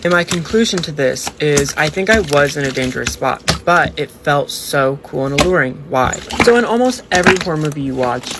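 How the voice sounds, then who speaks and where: A man's voice: on the high side, lively intonation, fairly quick, few pauses. Casual male storyteller over noisy background. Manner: conversational, emphatic, uses casual slang. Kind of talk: casual first-person storytelling for social video.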